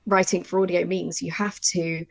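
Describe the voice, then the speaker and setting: A woman presents a workshop in a conversational, emphatic style. Her voice sits on the low side with a clear creak, in a very dry recording. She repeats key points and acts out short examples as she explains. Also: level tone, moderate pace, dry recording, rough recording.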